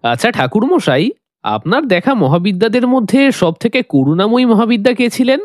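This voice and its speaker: A man's voice: high voice, very animated, moderate pace. A man playing a curious, respectful listener in an audio story, asking politely. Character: Kishori, curious respectful male friend of a tantric. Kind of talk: Bengali audio story acting.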